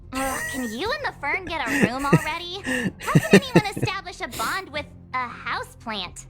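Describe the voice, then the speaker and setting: A woman's voice: sits high, big pitch swings, normal speed, over music. An actress playing a bubbly, easily rattled teenage girl in a video game, exclamatory and a little whiny. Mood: exasperated. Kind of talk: scripted video game acting.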